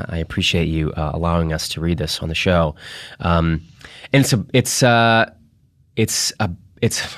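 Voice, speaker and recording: A man hosting a podcast, introducing material in a casual, halting, informal way. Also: low voice, dry recording, clean studio sound. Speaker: podcast host.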